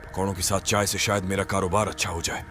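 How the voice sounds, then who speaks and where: A man's voice: deep voice, level tone, fast, a bit bright, faint music behind. A man playing a humble, worried street food vendor and family man in a moral-story cartoon, speaking earnestly. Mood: resolved.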